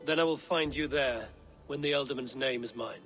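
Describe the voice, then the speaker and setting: An actor playing a blunt, wary Viking warrior in a video game, talking in terse, stern, impatient lines. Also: male voice, mid-range voice, moderate pace, fairly bright tone, soft background music, natural voice. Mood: resolute. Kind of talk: video game drama acting.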